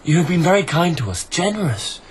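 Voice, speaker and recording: A self-important young emperor in a radio drama. His voice sits a little high, and he speaks in lofty, deliberate pronouncements. Slight ambient noise sits behind him. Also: very animated. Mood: lofty, gracious.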